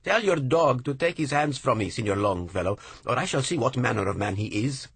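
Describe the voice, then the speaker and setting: An indignant Italian man, snarling and outraged, as a male narrator acts him out. His voice jumps high and loud, rough and husky at the edges. The sound is dry and close to the mic. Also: wildly swooping pitch, normal speed, dry recording, heavily acted.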